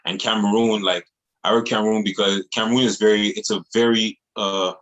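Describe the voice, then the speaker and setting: Male music artist, very low voice, earnest slangy interview talk. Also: little pitch movement, brisk, a bit bright, rough recording. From Montreal, Canada. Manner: earnest, repetitive phrasing with casual slang. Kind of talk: casual interview chat.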